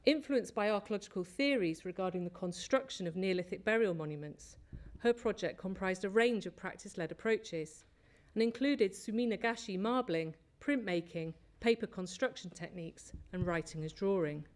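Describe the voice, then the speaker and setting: A woman presenting at an academic conference, reading from prepared notes in a measured way with small slips. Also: medium pitch, lively intonation. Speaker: conference speaker, lecturer. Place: lecture hall.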